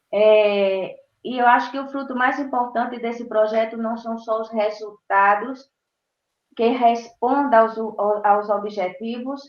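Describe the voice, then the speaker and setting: A woman from Brazil, a university professor, giving a webinar talk over a video call. She speaks haltingly and stops often to search for words. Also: on the high side. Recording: roomy, dull video-call audio.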